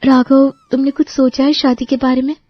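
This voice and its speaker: A woman's voice: high voice, level tone, moderate pace, no room sound, highs cut off, faint music behind, natural voice. A woman playing an earnest young girlfriend in a cartoon story, pressing for marriage. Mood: earnest, worried. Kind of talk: Hindi cartoon story voice acting.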